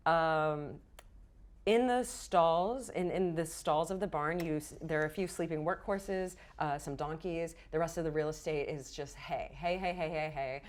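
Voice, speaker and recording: A woman's voice: low voice, measured pace. A woman game master narrating a fantasy tabletop roleplaying game in a playful, theatrical storytelling manner. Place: indoor studio set.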